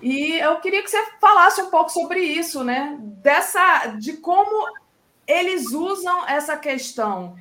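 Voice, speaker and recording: A woman's voice: very high voice, lively intonation, unhurried, sharp tone. A woman hosting a live political interview, asking long rambling questions full of fillers. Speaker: host. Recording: roomy echo.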